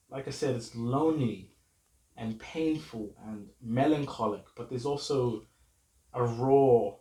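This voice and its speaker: A man's voice: mid-range voice, darkish tone. Young man vlogging in an echoey room. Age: young adult. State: slightly ill. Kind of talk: casual to-camera book talk. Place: room at home with bookshelf. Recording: roomy echo, mic at a distance, faint music.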